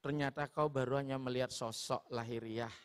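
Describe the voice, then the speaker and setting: A man voicing a calm, wise spiritual teacher who speaks low and instructively. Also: fairly quick, clear, resonant tone, natural voice. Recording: long hall reverb.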